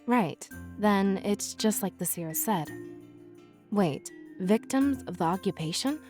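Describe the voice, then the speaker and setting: A woman's voice: mid-range voice, lively intonation, slow, darkish tone, faint music behind. A woman voicing a thoughtful, questioning female companion in a visual novel game, with a hesitant manner. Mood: puzzled.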